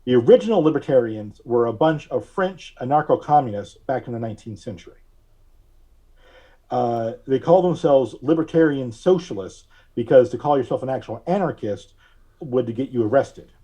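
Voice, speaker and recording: A man giving measured, carefully worded explanations as a podcast guest. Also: medium pitch, expressive, mellow. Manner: measured, deliberate, precise wording. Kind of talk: explanatory interview talk.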